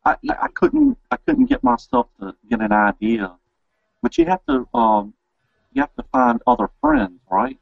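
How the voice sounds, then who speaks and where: A man's voice: high-pitched, wildly swooping pitch, unhurried, creaky, slightly rough, dry recording. A man hosting an interview in a casual, chatty style. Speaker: interviewer, show host. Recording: dry, dull lo-fi audio.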